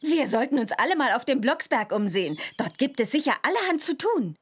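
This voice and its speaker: High-voiced, excitable witch girl. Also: a little fast, a little hiss or hum. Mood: practical. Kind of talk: cartoon game voice acting.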